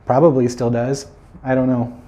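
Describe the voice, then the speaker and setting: A man's voice: medium pitch, level tone, moderate pace, mellow. A man telling a children's fairy tale informally, as a storyteller, with playful, chatty asides.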